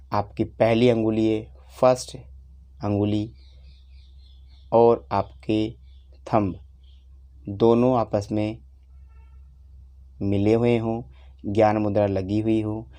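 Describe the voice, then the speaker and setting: Slow, low-voiced man guiding meditation. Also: little pitch movement, mellow. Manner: unhurried, instructive, with long pauses between short phrases. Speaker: meditation teacher. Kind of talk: guided meditation instruction.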